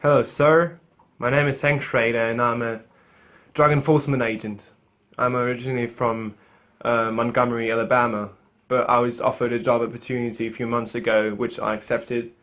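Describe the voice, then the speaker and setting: A man's voice: mid-range voice, little pitch movement, moderate pace, dull audio, natural voice. A young man playing a frustrated male drug enforcement agent, talking hesitantly with many fillers. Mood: weary.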